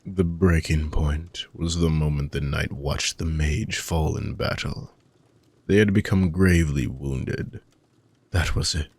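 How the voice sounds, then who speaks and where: A man playing an ancient, weary dark mage villain with a gravelly, husky voice, speaking in soft, deliberate, formal phrases as an intimate fantasy audio roleplay monologue. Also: a bass voice, no room sound, full character voice. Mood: grave, bitter. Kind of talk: slow, intimate dramatic monologue for sleep-aid audio roleplay.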